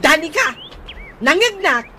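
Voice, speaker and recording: A woman's voice: very high-pitched, very animated, unhurried, pushed and bright, heavily acted. A woman playing a brazen, taunting village troublemaker in an Ilocano comedy radio drama, squealing and mocking with an Ilocano accent. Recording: noisy radio drama recording.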